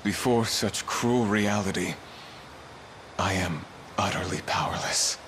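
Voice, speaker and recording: An actor playing a guilt-ridden, weary fallen knight in a fantasy video game, speaking gravely in formal archaic language. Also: male voice, on the low side, slow pace, fairly bright tone, slight background noise. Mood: remorseful, weary.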